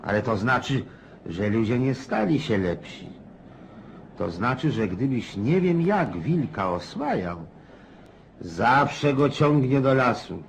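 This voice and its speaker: Old cossack storyteller in a radio play, husky voice, slow and rambling but really swoopy. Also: male voice, on the low side, a little hiss or hum, full character voice. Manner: rambling, folksy, prodding listener with questions. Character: garrulous old Cossack, cynical rustic storyteller.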